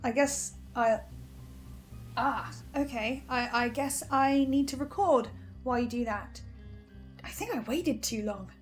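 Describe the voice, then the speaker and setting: A woman plays an anxious, sardonic broadcaster in an audio drama. Her voice sits high, and she speaks haltingly and expressively, breaking into her own sentences. Faint music plays underneath. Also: close to an everyday voice. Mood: resigned worry. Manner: halting, expressive, self-interrupting. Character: Edwin, anxious, sardonic researcher and broadcaster bound to fearsome powers. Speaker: podcast-style host reviewing a horror series. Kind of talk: naturalistic scripted audio drama.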